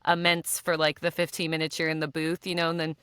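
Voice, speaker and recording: A woman's voice: sits low, steady tone, fairly quick, fairly bright tone, clean tone, dry recording, studio quality. A woman chatting casually in a rambling way, often checking in with a tagged-on question. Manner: rambling, ends phrases with "right?". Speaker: voice actor on a podcast panel. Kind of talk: casual chat.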